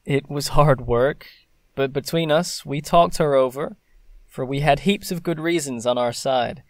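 A young gentleman played by a man in a period audio drama, mid-pitched and recorded dry. He is proud and fondly teasing, with a wry, playful formality. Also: a little slow, no room sound, natural voice.

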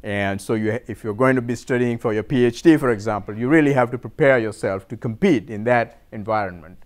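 A middle-aged man from Sri Lanka lectures to an audience in a room, his voice smooth and resonant at an ordinary pitch. He talks in a measured way and often stops on "uh" and "um" or repeats a word while he finds the next one. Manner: measured, hesitant with many fillers and repeated words. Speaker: university professor giving a talk. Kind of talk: informal lecture presentation. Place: lecture room in a cultural center.

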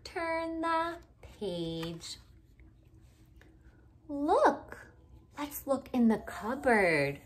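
A woman reads a picture book to young children in a high, very animated voice. She takes it extremely slowly, using simple, exaggerated phrases. She keeps asking questions as a teacher would, inviting the listener to join in. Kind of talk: interactive read-aloud for young children. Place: living room at home, indoors.

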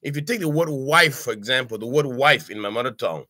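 An older man from Cameroon teaching a casual online language lesson, his voice bright and forceful. He speaks haltingly, stacking up fillers and restarts and asking "okay?" after each point. The webcam sound from his home study is dry and close. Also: medium pitch, expressive, no echo. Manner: halting, many "uh"s and restarts, frequent "okay?" check-ins. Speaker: language tutor. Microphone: webcam on a video call.

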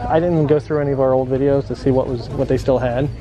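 A man's voice: mid-range voice, steady tone, fast, highs cut off. A man hosting a shopping vlog, chatting casually and reading out prices while inside a busy store. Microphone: handheld camera, off screen.

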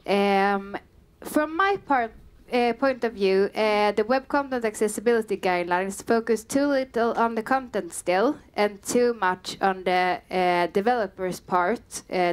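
A young woman with a southern Swedish accent giving a casual meetup talk on a headset mic, chatty and full of um and uh fillers. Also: medium pitch. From Sweden. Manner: chatty, frequent um and uh fillers, light self-deprecating humor. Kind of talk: conference presentation. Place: dim meeting room with a small audience.

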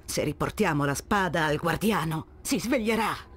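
A woman's voice: sits low, lively intonation, normal speed, breathy edge. An actress playing a proud, resolute Egyptian warrior woman leader, solemn and commanding.

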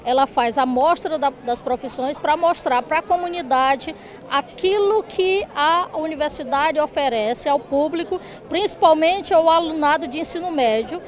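A woman speaks briskly in a high voice, matter-of-fact, into a handheld mic outdoors. She represents the university at the fair. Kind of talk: interview. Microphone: handheld reporter mic.

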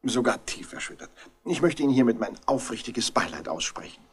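Middle-aged male politician: pompous, easily flustered, formal, mid-pitched, retro radio play. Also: very animated, fairly quick, slightly rough. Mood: awkward, formal. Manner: pompous, stammering over condolences. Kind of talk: German 1960s radio drama acting.

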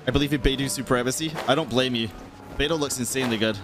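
A man's voice: medium pitch, fast, noisy background. A man in his thirties giving playful, slangy live gameplay commentary and chatting to his viewers. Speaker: video game streamer. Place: indoor room at a streaming setup. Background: game music and sound effects.

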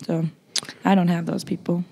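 A young woman talks in a loud, blunt, casual way, filling her sentences with "like." Her voice sits a little low for a woman. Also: little pitch movement, moderate pace, vocal fry. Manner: loud, blunt, frequent "like" fillers. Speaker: podcast guest, makeup artist. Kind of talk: casual interview chat.

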